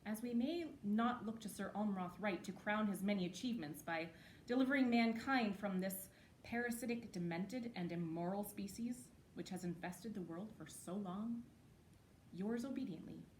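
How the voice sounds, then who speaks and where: A woman's voice: mid-range voice, moderate pace, slight background noise. A woman reading a formal letter aloud as a dramatic performance, arch and emphatic with mock earnestness. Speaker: actor reading historical letters.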